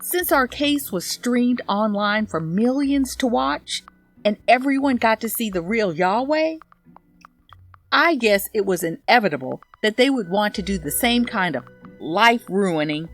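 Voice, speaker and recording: A woman's voice: medium pitch, expressive, measured pace, faint music behind. A woman performing a petty, self-righteous woman's sardonic social media rant as a scripted comedy monologue. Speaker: narrator, audio fiction reader.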